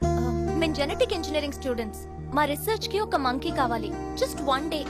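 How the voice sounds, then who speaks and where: An actress playing an earnest young science student, polite and insistent, in a comedy film. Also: female voice, sits high, very animated, a little fast, loud music behind the voice. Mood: pleading, earnest. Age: young adult.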